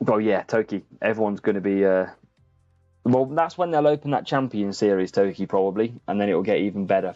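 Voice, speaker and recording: Male streamer, casual game commentary, chatty, dark relaxed tone, dry muffled lo-fi audio. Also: on the low side, no echo. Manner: chatty, addresses viewers by name. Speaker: streamer and host of a gaming channel. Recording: muffled lo-fi sound, music behind. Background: faint background music.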